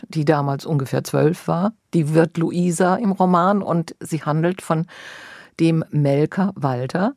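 An interviewer introducing books and putting questions in a measured, prepared literary style. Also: mid-range voice, a little mellow, no room sound, clean studio sound.

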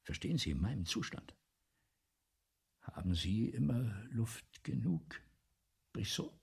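A man's voice: on the low side, very animated, unhurried, a little mellow, full character voice. A male actor in a radio play playing a vain, ailing, agitated playwright who rambles imperiously and swings between muttering and outbursts. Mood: self-important. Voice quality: husky, gravelly. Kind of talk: 1970s German radio play acting.

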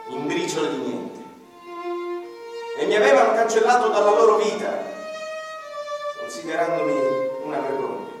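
An actor playing an embittered, idealistic ordinary man in a theatrical radio monologue, declamatory, with dramatic pauses and swings from hushed to loud. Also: a little high, natural voice. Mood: wounded, defiant. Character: embittered, idealistic ordinary man, a small-town activist defending his land. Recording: very echoey hall, distant mic, faint music. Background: faint violin music.

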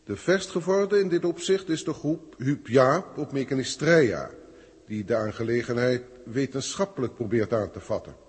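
An actor playing a haughty, impatient alien official who talks formally and pompously in a 1960s science fiction radio play. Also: male voice, medium pitch, normal speed, breathy edge. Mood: formal reporting. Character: haughty, impatient male alien senior proctor filing reports. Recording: echoey hall effect, old radio recording.

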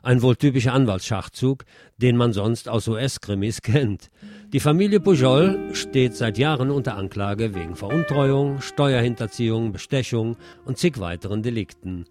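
A man reads the news as a radio presenter at a middling pitch. His delivery is scripted, with dry, wry asides worked into the reporting. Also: animated, normal speed, a little mellow, faint music behind.

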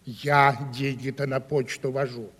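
An actor in a 1970s Russian radio play as a sly, gossipy manservant, teasing and insinuating in a folksy way. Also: male voice, mid-range voice, flat delivery, unhurried, a bit bright, big-room sound. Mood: needling. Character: sly, gossipy, truth-telling male manservant, needling and folksy.

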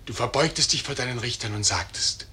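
An actor playing an urgent inner voice in a poetic radio play, declaiming insistently in a rough, chanting way. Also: male voice, mid-range voice, animated, fairly quick, bright, forceful tone, exaggerated voice. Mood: solemn, insistent. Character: urgent inner voice, accusing and exhorting a dying man.

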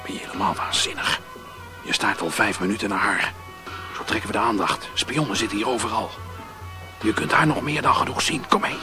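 An actor playing a stern, secretive smuggler boss in a radio drama, giving urgent, hushed orders. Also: male voice, on the low side, brisk, over music, natural voice. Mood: urgent, scolding.

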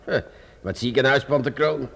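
Grumpy tired police inspector in a radio play, sarcastic, mutters and hesitates a lot. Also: male voice, mid-range voice, normal speed, gravelly, fairly bright tone. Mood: sarcastic, exasperated. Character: weary, grumbling, sarcastic male police inspector. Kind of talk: Dutch radio drama acting.

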